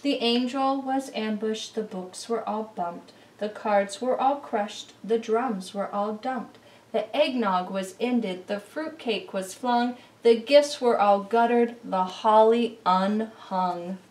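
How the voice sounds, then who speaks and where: Woman, expressive children's story reader, deliberate phrasing, raised pitch, echoey room, distant microphone. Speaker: children's read-aloud presenter. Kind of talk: expressive picture-book read-aloud. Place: indoor living room beside a Christmas tree. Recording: roomy, distant camera microphone.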